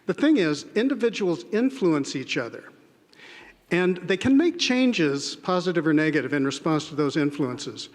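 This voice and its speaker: A man, an English professor, giving a measured, earnest prepared speech to a large audience. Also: fairly high pitch, sing-song. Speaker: English professor giving a spoken-word address. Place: large indoor arena. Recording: reverberant arena sound.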